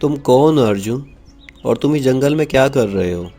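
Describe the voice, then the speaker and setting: A man's voice: medium pitch, expressive, normal speed, mellow, faint music behind. A man playing a brave, curious young prince with courtly speech in a Hindi cartoon story.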